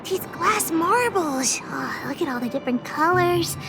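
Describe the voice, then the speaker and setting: A cheeky little girl who bosses her gang around in a video game. The voice is extremely high and childlike, swinging up and down with great animation. She sounds self-important and excitable, with music playing underneath. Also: a little slow, creaky, exaggerated voice. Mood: delighted, curious. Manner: self-important, cheeky, refers to herself by name. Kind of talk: video game character voice acting. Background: background music.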